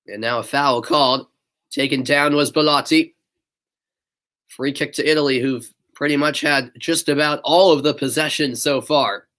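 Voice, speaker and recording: A man's voice: medium pitch, normal speed. Young guy doing live play-by-play, bit stuffed up, chatting with his viewers between plays. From northeastern United States. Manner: steady play-by-play, casual chat with viewers. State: stuffy nose. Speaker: play-by-play commentator. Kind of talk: live amateur sports commentary.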